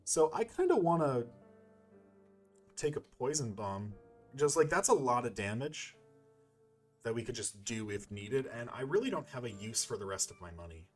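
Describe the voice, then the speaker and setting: A man hosts a video game playthrough in a middle-pitched voice. He talks casually, thinking aloud and rambling through his commentary. Faint music plays behind him. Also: sing-song, moderate pace.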